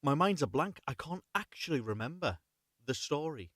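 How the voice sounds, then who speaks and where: A man's voice: fairly high pitch, very animated, normal speed, fairly bright tone, no room sound, studio quality. A man hosting a live story reading, chatting casually and reading unrehearsed in a rambling, self-correcting way. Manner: rambling, self-correcting, apologetic about slips.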